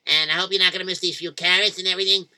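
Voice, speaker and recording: A man's voice: sits high, fairly even intonation, very fast, sharp tone. A man voicing an eager, confident young boy in a spoken story. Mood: bold. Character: brave, cheerful young boy.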